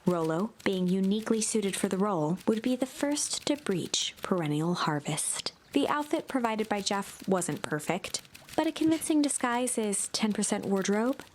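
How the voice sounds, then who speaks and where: A woman's voice: mid-range voice, lively intonation, normal speed, a little hiss or hum, lightly acted. A woman performing as the storybook narrator of an adventure game, reading scripted prose. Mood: measured narration.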